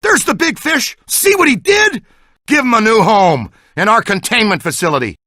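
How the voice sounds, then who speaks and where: An actor voicing an eager, excitable ghost-hunting scientist in a video game, exclaiming and giving team orders. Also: male voice, high voice, normal speed, dry recording, clean studio sound, full character voice. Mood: alarmed, urgent. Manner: animated, exclamatory, jargon-laden. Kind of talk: animated video game voice acting.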